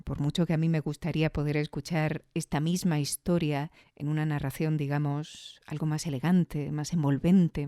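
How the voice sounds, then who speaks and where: Female host, Spain Spanish accent, soft dark tone, close dry sound, thoughtful conversational commentary. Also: sits low, no echo. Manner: reflective, explanatory, with rhetorical questions. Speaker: audio fiction review show host.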